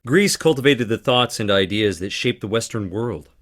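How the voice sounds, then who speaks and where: An actor playing a charming, theatrical theater performer with dramatic flair in a video game. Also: male voice, fairly low pitch, animated, normal speed, fairly bright tone. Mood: playful, theatrical. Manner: theatrical, dramatic, swinging between playful and intense. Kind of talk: video game character dialogue.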